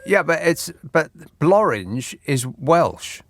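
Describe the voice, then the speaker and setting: A man hosting a late-night radio phone-in, reading listener texts with dry, deadpan sarcasm. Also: fairly high pitch, slow pace, music in the background. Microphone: studio microphone.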